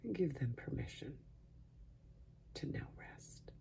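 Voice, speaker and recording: A man softly guiding a sleep meditation in a hushed, breathy voice that often sinks into a whisper, slow and soothing with long pauses. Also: a little high, sing-song. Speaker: meditation guide. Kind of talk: guided sleep meditation, soft-spoken.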